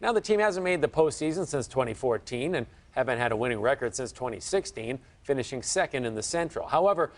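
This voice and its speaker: A man's voice: mid-range voice, expressive, quick pace, hardly any pauses. An American man presenting a sports segment in scripted news narration.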